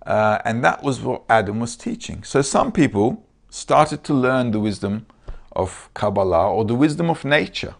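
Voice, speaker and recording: A man's voice: mid-range voice, gravelly. A man with a Turkish accent giving a casual lecture over a video call, often checking in with his listeners. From Turkey.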